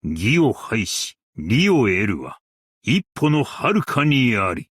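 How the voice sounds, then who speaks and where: A man playing a principled, stern yet merciful scholar-strategist in a game, speaking in a formal, archaic and measured way. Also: medium pitch, big pitch swings, a bit bright, husky, dry recording, studio quality, exaggerated voice. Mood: commanding, resolute. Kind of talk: Japanese game character voice lines.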